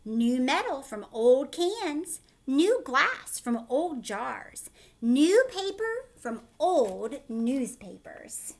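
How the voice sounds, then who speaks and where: Storybook animal mayor, played by a woman: civic-minded, upbeat, proclaiming, emphatic, higher-pitched. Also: big pitch swings, extremely slow, exaggerated voice. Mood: rallying.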